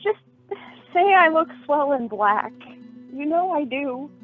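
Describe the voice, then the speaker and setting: Woman playing a high-voiced, melodramatic young sweetheart. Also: animated, slow pace, no echo. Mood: coy, relieved. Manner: melodramatic, earnest pleading. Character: emotional young sweetheart confessing a fib, pleading. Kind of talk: radio play acting. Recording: tinny narrow-band audio, faint music.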